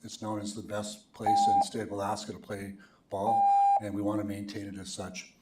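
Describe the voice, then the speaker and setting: A man giving a plain, steady report as a ballpark association representative during public comment. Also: on the low side, brisk, very noisy. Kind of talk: public comment at a council meeting. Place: council chamber. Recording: echoey council chamber.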